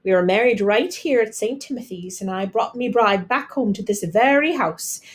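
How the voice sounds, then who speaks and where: A woman's voice: mid-range voice, normal speed, close to an everyday voice. An Irish father from a storybook, played by a woman, spins his grand tales with fond, theatrical flair. The delivery lilts along like a romantic fireside yarn. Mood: fond storytelling.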